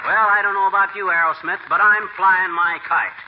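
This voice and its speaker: An actor playing a boastful, slangy, bickering husband and schemer in a 1940s radio comedy. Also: male voice, high voice, fairly even intonation, fairly quick, close to an everyday voice. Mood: combative bluster. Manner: folksy, slangy wisecracking. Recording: very noisy, muffled 1940s radio recording.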